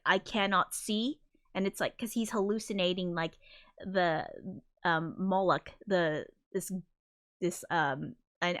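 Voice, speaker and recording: A woman with a high voice talks casually on her livestream, reading out viewer comments. She rambles, restarts her sentences often and giggles between thoughts, close to a desk mic. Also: bright, forceful tone. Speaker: composer streaming live.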